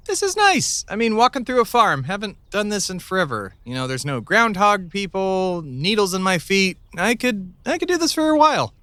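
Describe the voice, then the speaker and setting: A man's voice: sits high, sing-song, normal speed, few pauses, pushed and bright, no echo, exaggerated voice. A man playing an easygoing podcast producer sidekick in a comedy audio drama, talking in casual slang as the straight man. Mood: relaxed. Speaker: podcast co-host.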